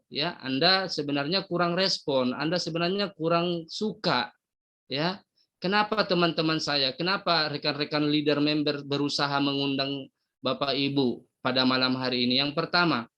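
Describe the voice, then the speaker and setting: A man's voice: a little high, pushed and bright, muffled recording. A middle-aged man from Medan giving a motivational business presentation, addressing the audience directly with rhetorical questions and emphatic rising bursts. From Medan, North Sumatra, Indonesia.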